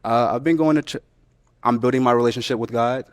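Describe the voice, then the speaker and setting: A man on a dating show answering questions in a halting, repetitive way, with many ums and restarts. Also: medium pitch, no echo. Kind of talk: candid unscripted conversation.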